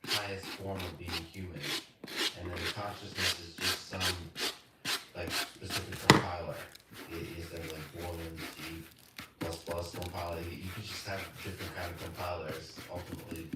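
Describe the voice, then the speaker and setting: Male host, halting and self-critical, echoey distant recording with loud noise. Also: low voice, little pitch movement. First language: Russian. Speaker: podcast host. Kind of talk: casual conversation.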